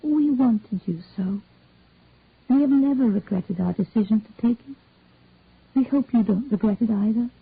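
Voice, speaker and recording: A woman reading the part of a reserved, formal older adoptive mother, speaking in a measured way. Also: on the high side, creaky, husky, heavily acted. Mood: tender. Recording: dry, tinny phone-like sound.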